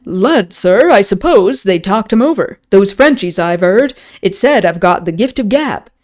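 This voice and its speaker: A woman's voice: medium pitch, expressive, slow pace, a little mellow. A woman voicing an irritable rustic English man at a pub in a novel, folksy and moralizing. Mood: explaining.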